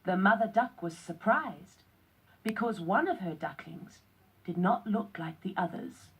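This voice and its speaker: A woman giving scripted narration on a children's read-along, with a deliberate, instructional storyteller delivery. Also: medium pitch, expressive, slightly rough, low-quality recording.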